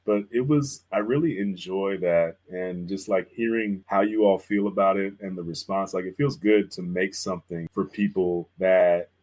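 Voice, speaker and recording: A man's voice: sits low, a little mellow, low-quality recording. A man talking casually and enthusiastically as a guest artist about creative work, in an informal way. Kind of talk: casual chat about making artwork.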